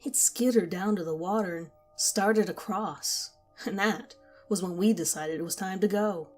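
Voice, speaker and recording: A woman reading a first-person horror story aloud, in a quiet, measured storytelling voice. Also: mid-range voice, faint music behind. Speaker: narrator. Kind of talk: scripted horror story narration.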